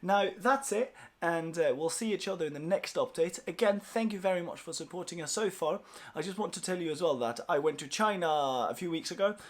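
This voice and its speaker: A man's voice: sits high, brisk. A young man whose first language is Swedish, giving viewers a casual, upbeat update from his small office, chatty and grateful with plenty of fillers. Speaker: language course founder.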